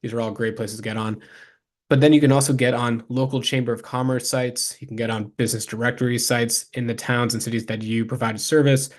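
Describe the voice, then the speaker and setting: A man presents as a consultant walking a viewer through a case study. His pitch stays fairly flat and even, and his delivery is informal, explanatory and practical, addressed straight to the listener. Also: fast talker.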